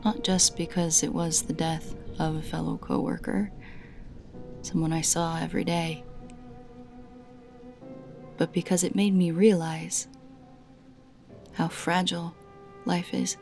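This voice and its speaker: A woman narrating a scripted first-person creepy story in a measured way, with dramatic pauses between short phrases. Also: lowish voice, level tone, vocal fry, a little mellow, faint music behind.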